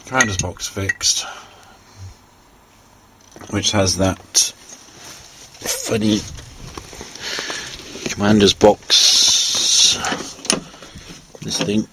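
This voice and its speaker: A man giving an informal hands-on technical walkthrough, unscripted and halting as he thinks aloud. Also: fairly low pitch, fairly even intonation. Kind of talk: informal hands-on show-and-tell narration. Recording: clearly noisy handheld amateur recording.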